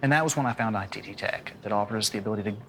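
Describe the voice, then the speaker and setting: A man's voice: fairly low pitch, very fast, sharp tone, slight background noise. A man from the southern United States with an American accent, giving a plain personal testimonial for an advert.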